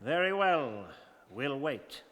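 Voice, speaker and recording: A man playing a cool, commanding, courteous English gentleman in a staged radio drama, speaking formally. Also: a little high, sing-song, slow, background noise. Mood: calm. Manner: formal, imperious. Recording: hall reverb, community studio performance.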